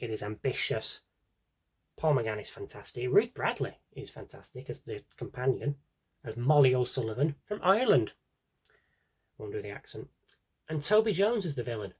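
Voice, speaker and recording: Low-key man with a slightly husky voice. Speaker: audio drama collector and fan. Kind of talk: casual rambling shelf-tour vlog chat.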